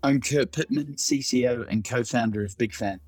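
A man's voice: medium pitch, moderate pace, a little mellow, slightly rough, no echo. A middle-aged man with a New Zealand accent, recounting his career conversationally as an interview guest. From Auckland, New Zealand. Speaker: startup COO, interview guest. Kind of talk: conversational account of own career.

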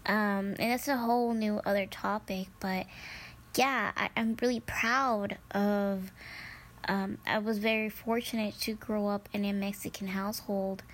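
Slow-talking young woman podcaster. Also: medium pitch, steady tone. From United States, Midwest. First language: Spanish. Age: young adult. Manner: chatty and upbeat, many ums and restarts. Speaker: podcast host. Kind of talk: casual solo podcast talk.

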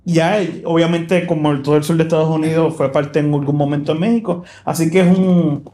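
A man's voice: a little high, fairly even intonation, a little fast, dark tone. Chatty man hosting a Spanish-language podcast. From South Texas. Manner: chatty, rambling with many fillers and restarts, laughs easily. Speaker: podcast host. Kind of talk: casual podcast chat. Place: church live room.

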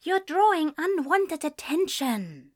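A woman's voice: sits high, big pitch swings, slow, voice pushed up, heavily acted. A woman doing a prim, fussy male angel. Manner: prim, hissing and flustered.

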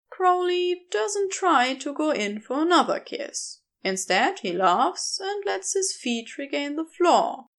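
Woman, fiction narrator, high pitch, animated melody, slow pace, expressive, deliberate scripted storytelling. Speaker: podfic narrator.

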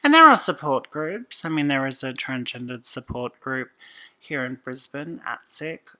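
Aussie woman chatting on a podcast, thoughtful, lots of restarts, kinda bright voice. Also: very deep voice, expressive, unhurried, rough recording. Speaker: podcast guest. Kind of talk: casual conversation.

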